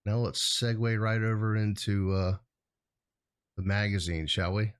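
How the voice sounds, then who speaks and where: A man's voice: lowish voice, fairly even intonation, measured pace, clear, resonant tone. A middle-aged man hosting a show in casual chat, rambling a little with a jokey, hesitant delivery. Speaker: show host.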